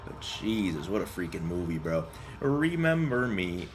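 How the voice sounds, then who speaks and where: A man's voice: sits low, very animated, a little slow, background noise. A young man doing casual, slangy game commentary and chatting with viewers in a joking, rambling way. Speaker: game streamer. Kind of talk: casual gameplay commentary and chat.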